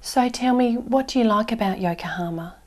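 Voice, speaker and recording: A woman's voice: fairly high pitch, brisk. A woman playing an exam interviewer, asking questions in a polite, formal way. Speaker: examiner.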